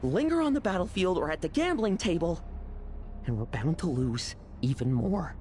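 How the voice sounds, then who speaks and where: An actor playing a blunt, pragmatic young schemer in a video game, weary and cynical, with a dry, lecturing way of talking. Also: male voice, on the high side, very animated, normal speed, darkish tone, a little hiss or hum. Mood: stern, frustrated.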